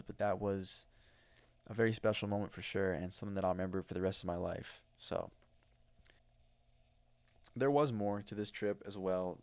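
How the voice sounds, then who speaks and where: An American man talks into a microphone on his own podcast, rambling through a travel story with plenty of fillers and restarts. His voice sits on the low side. The sound is close and dry, with no room echo. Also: darkish tone, smooth. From United States. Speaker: podcast host. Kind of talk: casual solo podcast storytelling. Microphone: studio microphone.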